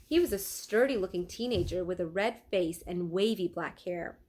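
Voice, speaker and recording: Teacher lady reading a kids book out loud, expressive, takes her time with it. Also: lowish voice, normal speed. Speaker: teacher reading aloud to pupils. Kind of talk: children's storybook read-aloud with questions to listeners.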